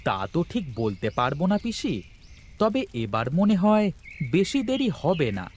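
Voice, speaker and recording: Animated, food-loving village nephew man acting. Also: high-pitched, normal speed, slight background noise. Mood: fond. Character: sleepy food-loving nephew, fretful henpecked husband. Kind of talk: animated cartoon story voice acting. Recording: music behind the voice.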